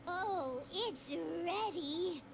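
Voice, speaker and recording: A woman's voice: very high voice, animated, extremely slow, full character voice. A woman voicing a small, childlike, chirpy and eager fairy companion in an anime video game. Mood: cheerful, helpful. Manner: perky, refers to self in third person.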